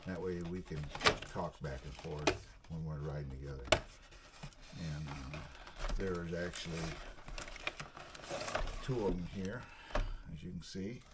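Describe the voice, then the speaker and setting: A man's voice: low-pitched, slow, pauses often. A man hosting a casual unboxing vlog, talking in a plainspoken, folksy way as he handles things. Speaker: video channel host. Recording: clearly noisy recording with clicks.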